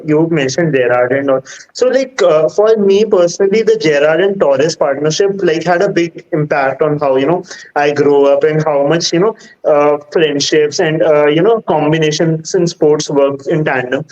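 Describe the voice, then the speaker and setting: A man with an Indian accent hosting a casual interview, asking halting questions over lo-fi audio. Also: a little high, a little mellow. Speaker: podcast host. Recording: dull lo-fi audio.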